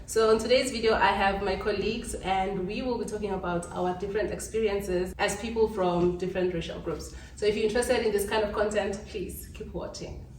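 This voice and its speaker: A woman's voice: medium pitch, fairly even intonation, fairly quick, a little mellow. Woman, vlog host, casual questions, roomy sound, faint hiss. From South Africa. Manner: friendly, steers the talk with questions. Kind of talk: casual group chat. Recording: roomy, distant mic, faint noise.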